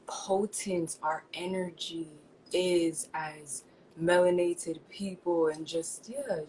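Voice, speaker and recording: Spiritual New woman, low voice. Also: a little hiss or hum, low-quality recording. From New York, United States. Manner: spiritual, expressive, talks in lofty phrases. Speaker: guest, chef and seasoning maker. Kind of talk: interview answers.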